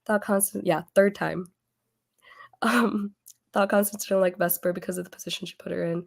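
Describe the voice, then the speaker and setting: A woman hosting a casual book club chat over a video call, losing her voice as she gets over an illness, chatty and quick to laugh. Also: lowish voice, steady tone, vocal fry. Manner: chatty, laughs often, trails off.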